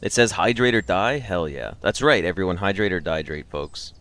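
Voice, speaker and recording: Low-voiced man chatting on a livestream. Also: expressive, brisk, a bit bright. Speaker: livestream host. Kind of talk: casual chat with live stream viewers.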